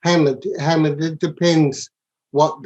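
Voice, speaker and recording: A man talking as a podcast guest in a reflective, philosophical way, halting and often repeating his words. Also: on the high side, level tone, a little mellow, dull audio. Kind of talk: reflective, spiritual casual talk.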